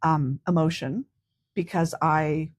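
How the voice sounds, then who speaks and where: Loud-laughing woman with a husky voice. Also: low voice, steady tone, slow. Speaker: podcast co-host. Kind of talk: casual chat. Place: hospital room.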